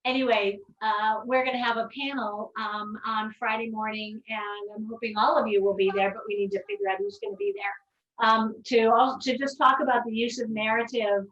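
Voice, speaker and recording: A woman moderating a live-streamed panel, chatty and filling pauses as she talks. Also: on the high side, fairly even intonation, fairly bright tone. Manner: chatty, many fillers. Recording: dull, echoey, distant audio.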